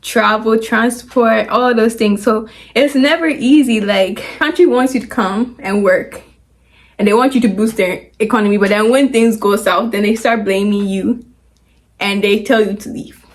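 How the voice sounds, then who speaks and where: A young woman with a Ghanaian accent talks straight to her viewers in an easy, chatty explainer. Her voice sits a touch high, and she slips into little acted voices when she quotes people. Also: fairly even intonation, normal speed. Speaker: video commentator. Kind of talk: casual explainer commentary.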